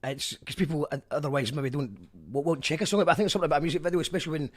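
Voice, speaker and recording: A man's voice: fairly high pitch, very fast. A man hosting a radio interview, chatty and reading out listener messages. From Scotland. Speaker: radio host. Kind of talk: casual interview chat.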